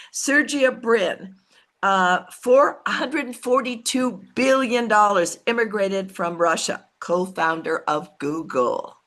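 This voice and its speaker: A woman's voice: mid-range voice, fairly bright tone. An American woman giving an informal business talk as a team leader, conversational and often correcting herself as she goes. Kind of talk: informal business motivational talk, partly reading from notes.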